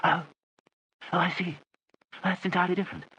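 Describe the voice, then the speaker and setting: A man reading an audiobook, voicing a vague, hard-of-hearing elderly aristocratic aunt who talks in a soft, wandering, genteel way. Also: sits high, fairly even intonation, a little fast, husky, dry recording, dull audio, voice pushed up, heavily acted.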